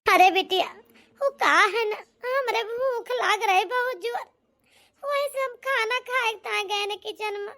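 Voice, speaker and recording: Woman doing old awadhi village mom for a cartoon, super high sharp pitched-up voice, humble, pleading, sorry all the time. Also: a little slow, heavily acted. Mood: apologetic, pleading. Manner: pleading, repeatedly addressing her daughter tenderly. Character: humble, apologetic old village mother, meek and self-sacrificing. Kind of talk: amateur cartoon comedy-drama acting.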